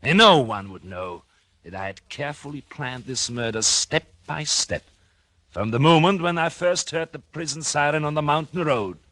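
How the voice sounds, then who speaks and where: Melodramatic murderous husband, wildly swinging pitch. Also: male voice, lively intonation, fairly bright tone, no room sound. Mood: smug, gloating. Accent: American. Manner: melodramatic, intense, deliberate. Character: calculating murderous husband, a doctor, smug then horrified. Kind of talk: 1940s radio acting, first-person suspense narration. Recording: 1940s radio recording with music behind.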